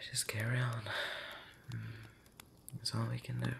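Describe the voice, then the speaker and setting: A man rambling intimately late at night in an ASMR style, breathy and often whispering, hesitant and pensive with long pauses and soft hums. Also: medium pitch, flat delivery, background noise. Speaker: audio roleplay channel creator.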